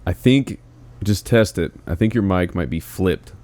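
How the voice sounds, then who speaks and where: A man's voice: deep voice, measured pace, darkish tone, no room sound. A young man hosting a casual podcast chat, easygoing and laughing often as he steers the conversation. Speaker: podcast host.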